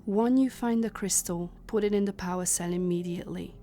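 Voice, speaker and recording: A woman playing a composed, authoritative leader of a secret time-travel organization, speaking formally and with measured command. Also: mid-range voice, mellow, lightly acted. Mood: instructing, calm. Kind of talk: cinematic audio roleplay acting.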